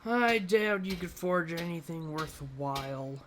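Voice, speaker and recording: A woman's voice: fairly low pitch, animated, a little slow, a little hiss or hum. A woman giving in-character gameplay commentary as a game player, with a dry, sardonic manner.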